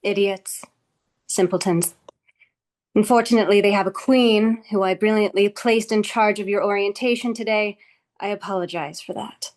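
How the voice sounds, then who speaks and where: A woman playing a composed, condescending female office boss in a script table read, speaking in a measured, dry way. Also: mid-range voice, steady tone, darkish tone, lightly acted. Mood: dry, soothing.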